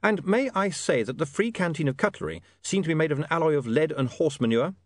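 A man voicing a dry, pedantic, quick-witted gentleman in an audiobook story, polite and precise. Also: on the high side, animated, no echo, voice pushed up, close to an everyday voice. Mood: wry, pedantic.

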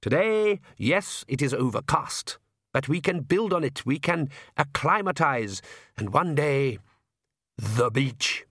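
A man voicing a cheerful, patronising aristocratic vampire father for a storybook, with smooth, deliberate, drawn-out delivery. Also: medium pitch, lively intonation, a bit bright, no room sound, clean studio sound, voice pushed up, heavily acted. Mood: cheerful, self-satisfied.

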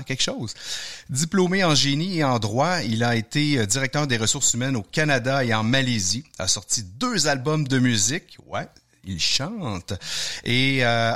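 Male podcast host, jokey, laughs a lot. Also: mid-range voice. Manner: laughs often, lively and joking. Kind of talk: casual chat.

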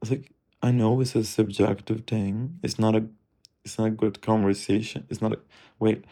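Guy rambling to himself, dark mellow voice, lotta fry, cursing and trailing off. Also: fairly low pitch. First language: Turkish. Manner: musing, drifting, profane, softly trailing off. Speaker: streamer. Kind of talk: rambling self-talk monologue.

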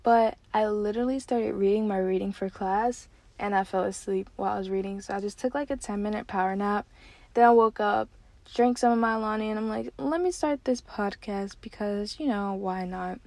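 A nineteen-year-old woman hosting her own podcast, chatting casually and excitedly with lots of fillers from a parked car. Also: mid-range voice, normal speed, dry recording. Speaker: podcast host. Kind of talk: casual solo podcast chat.

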